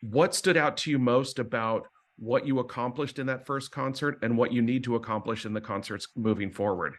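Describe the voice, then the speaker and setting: Measured male interviewer. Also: mid-range voice. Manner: measured, deliberate questioning. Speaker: interviewer, host. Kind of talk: interview questions.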